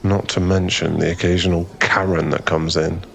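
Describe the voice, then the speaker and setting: A man acting as a friendly young guy with a fairly low voice, chatting in an ASMR boyfriend roleplay. He is easily flustered, rambling and self-effacing in a soft-hearted way. Some ambient noise sits behind him. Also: flat delivery, normal speed, vocal fry. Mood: exasperated.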